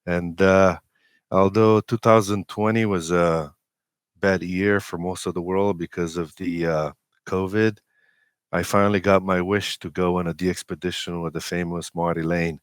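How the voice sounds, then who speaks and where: A man in his forties with a Romanian accent, a native Romanian speaker, telling personal stories as an interview guest in a halting, hesitant way with many pauses. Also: deep voice, fairly even intonation, smooth, dry recording, clean studio sound. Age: 40s. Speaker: interview guest, amateur radio operator.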